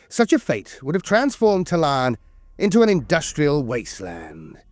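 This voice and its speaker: A man reading scripted lore narration in a solemn, measured storytelling manner with deliberate dramatic pauses. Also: on the high side, dry recording. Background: background music.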